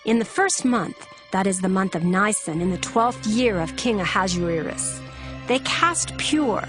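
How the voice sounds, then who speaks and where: Female narrator: solemn, measured scripture storytelling, medium pitch, light musical bed. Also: lively intonation, a bit bright. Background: background music.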